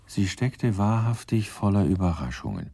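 An actor as the wry, composed narrator of a radio crime drama, reading scripted literary narration. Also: male voice, low voice, big pitch swings, normal speed, gravelly, mellow, slight background noise, heavily acted.

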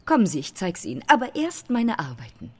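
A woman playing a self-assured, provocative photographer in a German radio drama, with a husky voice and pointed, theatrical phrasing. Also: medium pitch, wildly swooping pitch, brisk, no room sound. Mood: cool, inviting.

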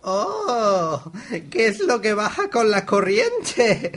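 A performer playing a washed-up, drunken male mascot living in the sewers, grumbling slowly in a comic radio soap. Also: medium pitch, very animated, fairly bright tone, full character voice. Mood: greedy.

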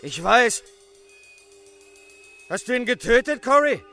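An actor playing an uneasy, brooding amateur accomplice in a heist, anxious and questioning, in a radio crime drama. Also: male voice, very high-pitched, expressive, takes it slowly, pushed and bright, faint music behind, exaggerated voice. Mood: tense, placating.

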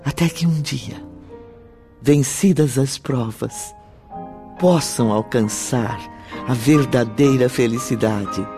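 An actor in a radio drama playing a calm, benevolent spiritual teacher in a solemn devotional style. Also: male voice, mid-range voice. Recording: music behind the voice.

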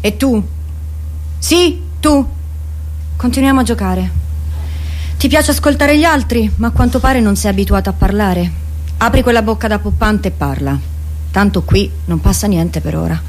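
A woman's voice: medium pitch, normal speed, long pauses, darkish tone, a little hiss or hum, close to an everyday voice, rough recording. An actress playing a pushy, earnest woman in a radio drama, questioning others insistently. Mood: commanding. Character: pushy, probing woman who preaches love. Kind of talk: naturalistic radio drama acting.

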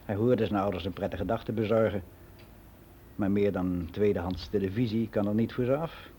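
A man's voice: lowish voice, fairly even intonation, moderate pace, dark tone, slight background noise, natural voice. An actor playing a world-weary middle-aged man who rambles in a frank, confiding way in a radio play monologue. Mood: gossipy. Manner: rambling, confiding, matter-of-fact.

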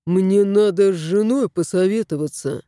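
A woman's voice: medium pitch, normal speed, mellow, no echo, studio quality, close to an everyday voice. A woman doing a flustered young father. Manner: flustered, pleading. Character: flustered young male cat owner.

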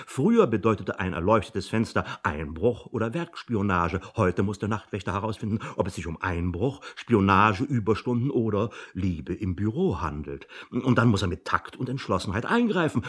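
Husky, long-winded personnel manager. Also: male voice, on the low side, fairly bright tone, heavily acted. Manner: officious, long-winded, with hesitant asides. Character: verbose, self-important male personnel manager. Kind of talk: German satirical radio drama acting.

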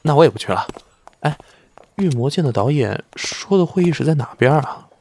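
A man's voice: on the high side, lively intonation, a little fast, a little mellow, slight background noise. An actor playing an eager, deferential young male film star in a Chinese audio drama. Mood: gentle.

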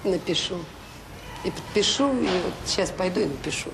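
A woman's voice: on the low side, expressive, moderate pace. An actress playing a restless, insistent middle-aged woman in a Soviet radio drama. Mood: defiant. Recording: noisy old radio play recording.

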